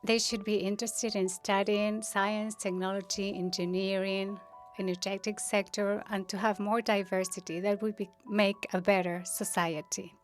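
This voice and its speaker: A woman's voice: mid-range voice, little pitch movement, moderate pace, soft background music. A woman interpreting live at an online conference, hesitant and often correcting herself mid-phrase. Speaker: simultaneous interpreter. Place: remote online session.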